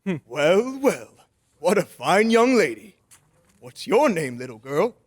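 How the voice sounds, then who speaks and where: A man's voice: high voice, very animated, unhurried, husky, exaggerated voice. A man playing a kindly, jolly old Santa Claus in a radio drama.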